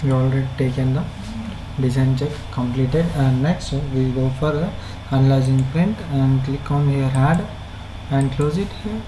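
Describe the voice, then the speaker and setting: A man with an Indian accent giving a halting, step-by-step software tutorial as an instructor, with repetitive instructions. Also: medium pitch, music in the background. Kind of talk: step-by-step screen tutorial narration. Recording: noisy, echoey room recording.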